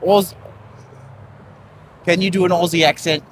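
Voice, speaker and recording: A man's voice: high voice, steady tone, measured pace, slight background noise. A young man hosting a live-streamed walking tour outdoors on a city street, rambling and chatty with lots of fillers, recorded on a phone.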